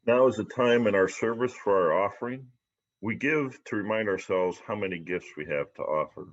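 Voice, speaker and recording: A man's voice: low voice, lo-fi sound. A man leading a livestreamed church service, reading and making announcements.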